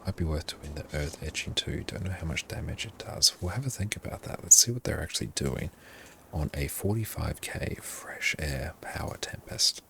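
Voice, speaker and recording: A man livestreaming his gameplay in a hushed, half-whispered voice, often thanking his viewers. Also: extremely low pitch, expressive, normal speed, gravelly, a little hiss or hum.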